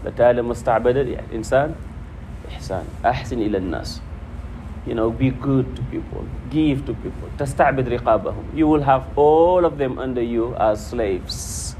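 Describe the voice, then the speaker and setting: A man's voice: medium pitch, rapid-fire. A man preaching informally to a congregation, repeating phrases for emphasis. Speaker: preacher teaching hadith. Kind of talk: informal religious talk to a congregation, quoting Arabic. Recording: noisy room with steady background hum.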